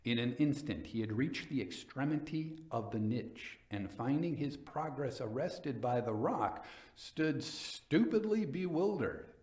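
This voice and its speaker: A man giving a dramatic reading as a coldly vengeful nobleman recounting his murder in a gothic tale, theatrical, deliberate and emphatic. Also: medium pitch, lively intonation, darkish tone, roomy sound, close to an everyday voice. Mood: grim, suspenseful.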